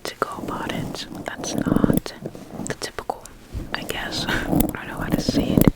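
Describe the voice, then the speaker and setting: An ASMR roleplay voice that stays in a soft, breathy whisper with almost no voicing. The delivery is slow and soothing, like personal attention given close to the microphone. Words and short phrases get softly repeated in a reassuring way. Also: poor audio. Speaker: ASMR performer.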